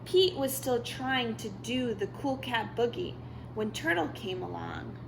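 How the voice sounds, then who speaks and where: A woman reading a children's picture book aloud with deliberate, expressive storytelling. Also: on the high side, vocal fry, noisy background. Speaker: story reader.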